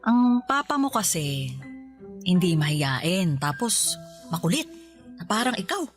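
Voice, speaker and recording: A woman playing an affectionate, teasing mother in a Filipino radio drama, speaking in a gentle, playful way. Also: lowish voice, big pitch swings, measured pace. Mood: fond. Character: affectionate, teasing mother of a college-age son. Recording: background music under the voice.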